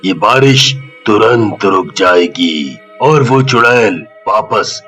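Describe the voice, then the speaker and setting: A man voicing a stern, grave older holy man of the forest in a cartoon horror tale, speaking in a measured, admonishing way. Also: fairly low pitch, lively intonation, music in the background. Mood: grave instructing.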